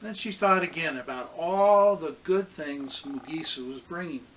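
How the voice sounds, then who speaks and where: A man's voice: fairly high pitch, a little slow, fairly bright tone, rough recording. A man reading a storybook aloud to children as a pastor, expressively acting out the characters' lines.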